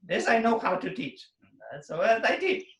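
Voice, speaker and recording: Animated man teacher, echoey room. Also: high voice, normal speed, lo-fi sound. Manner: animated, non-native phrasing, laughs often. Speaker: fitness teacher hosting a workshop. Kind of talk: casual talk to an audience.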